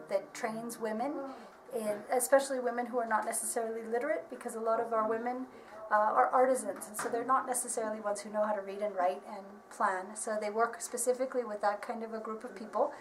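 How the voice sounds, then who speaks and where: Woman explaining her work, fairly high voice, lots of ums, sounds far from the mic in an echoey room with a little background hiss. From United States. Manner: earnest, steady explaining with frequent ums and restarts. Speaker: marketing advisor. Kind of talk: explanatory interview answers. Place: workshop premises.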